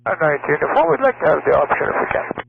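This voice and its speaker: Thin cockpit radio, guy pilot arguing back, slightly high voice, insistent, keeps repeating himself. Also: very animated, fast talker, vocal fry. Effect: aircraft radio. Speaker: flight instructor and pilot. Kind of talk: argumentative radio exchange. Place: small aircraft cockpit. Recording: narrow, crackly air traffic radio transmission.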